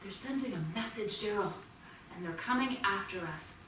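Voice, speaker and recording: A woman's voice: medium pitch, lively intonation, normal speed, a bit bright, close to an everyday voice. An actress playing a stern, worried mother of a teenage son in a staged play reading. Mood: grave, firm. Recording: echoey theatre, distant mic, clear noise.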